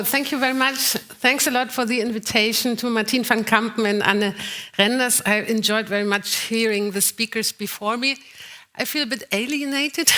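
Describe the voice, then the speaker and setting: Bright-voiced woman giving a keynote. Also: mid-range voice. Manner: slightly halting, with frequent "uh" fillers. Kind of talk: lecture read partly from notes. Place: conference hall, at a lectern.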